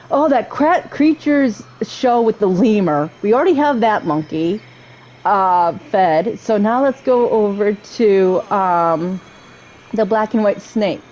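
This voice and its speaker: A woman giving casual, chatty gameplay commentary, excitable and often interrupting herself. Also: medium pitch, unhurried, low-quality recording. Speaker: video game streamer playing through a story quest.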